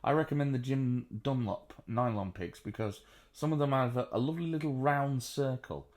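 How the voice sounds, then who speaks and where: A man with a baritone voice, sitting on a sofa at home and casually explaining how to play the banjo, with plenty of ums and uhs. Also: darkish tone. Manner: chatty, unhurried, frequent ums and uhs. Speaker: banjo teacher. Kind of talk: relaxed spoken music tutorial.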